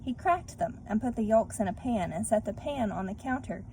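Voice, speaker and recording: A woman reading and discussing a children's story like a teacher, expressively and speaking directly to young listeners, with clear background noise. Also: a little high, expressive, moderate pace, a little mellow, rough recording. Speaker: read-aloud teacher. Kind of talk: children's story read-aloud with questions to listeners.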